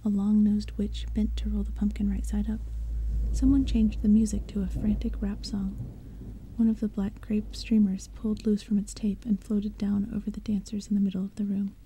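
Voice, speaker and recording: A woman narrating an audiobook in a soft, hushed whisper. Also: medium pitch, little pitch movement, moderate pace, slight background noise, poor audio.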